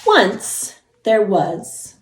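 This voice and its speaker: A woman's voice: medium pitch, wildly swooping pitch, drawn-out words, echoey room, slight background noise. A woman preschool teacher reading a storybook aloud to young children, encouraging and explaining things simply.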